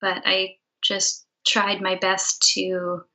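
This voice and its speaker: A woman's voice: mid-range voice, completely flat delivery, drawn-out words, bright, forceful tone, rough recording. A woman speaking as a musician guest in a casual interview, candid and self-deprecating.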